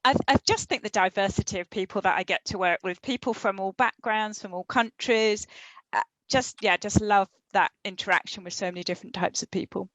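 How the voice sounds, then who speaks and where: A woman's voice: mid-range voice, fairly quick, a bit bright, low-quality recording. A woman speaking casually as a guest on a panel. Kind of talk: casual chat.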